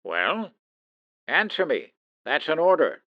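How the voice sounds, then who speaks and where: A man's voice: fairly high pitch, wildly swooping pitch, takes it slowly, no room sound, dull audio, full character voice. A man playing an authoritative, protective middle-aged father and officer in an old-time radio drama, urgent and theatrical. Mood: stern, probing. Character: commanding, protective father, suspicious military officer.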